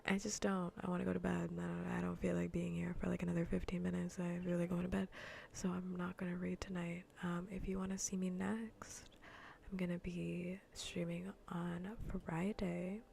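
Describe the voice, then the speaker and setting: A woman livestreamer chatting softly in a breathy, mostly whispered ASMR voice close to the mic, rambling playfully and repeating her phrases. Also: fairly low pitch, level tone, moderate pace, slight background noise. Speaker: livestreamer hosting an ASMR gaming stream. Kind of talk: soft ASMR casual chat to viewers. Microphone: close ASMR microphone.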